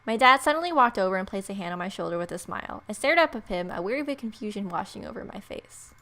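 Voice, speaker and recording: A woman's voice: medium pitch, lively intonation, fast, a bit bright. A woman let's player narrating a visual novel aloud as she plays. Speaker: video game let's player.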